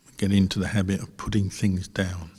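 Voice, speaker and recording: A man, a Buddhist monk, guiding a meditation calmly and without hurry, with a husky voice and long pauses between short phrases. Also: deep voice. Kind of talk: guided meditation instruction. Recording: reverberant hall, long echo.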